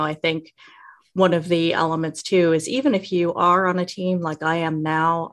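Lower-pitched woman with a creaky voice. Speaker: guest interviewer, resilience specialist. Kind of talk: conversational podcast interview.

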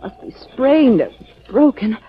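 A woman's voice: on the high side, sing-song, unhurried. An actress playing an anxious, refined lady companion, fretful and dramatic, in a 1940s radio drama. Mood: pained, fretful. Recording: muffled, hissy 1940s radio recording.

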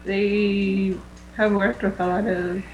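A woman's voice: medium pitch, level tone, takes it slowly, dull audio. Informal woman chatting on a humming recording. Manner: informal, frequently says "like". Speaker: podcast co-host. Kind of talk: casual fan chat. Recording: faint noise, mains hum.

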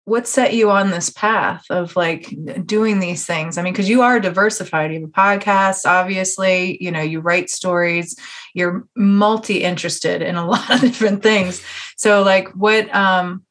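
Low-pitched woman, casual podcast host. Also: steady tone, moderate pace, a bit bright. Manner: casual, informal phrasing with "man" and "you know". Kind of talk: casual conversational interview.

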